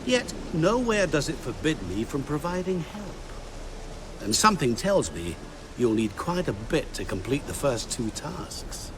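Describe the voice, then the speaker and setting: An actor playing a sly, urbane devilish dealmaker in a video game, smugly courteous, with teasing, formal phrasing. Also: male voice, fairly high pitch, sing-song, a little slow, noisy background. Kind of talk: video game cutscene acting.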